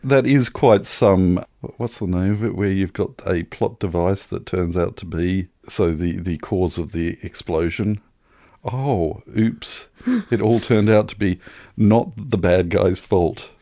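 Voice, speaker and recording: A man's voice: low-pitched, unhurried, dark tone, dry recording, clean studio sound. An Australian man co-hosting a podcast, chatting casually about film in a thoughtful, searching way.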